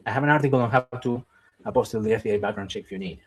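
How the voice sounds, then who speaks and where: A man's voice: on the low side, very fast, husky. Man explaining. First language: Spanish. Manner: explanatory, keeps checking in with "okay?"; cautious, qualifies advice with "in my opinion". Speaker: visa webinar host. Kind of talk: live webinar Q&A.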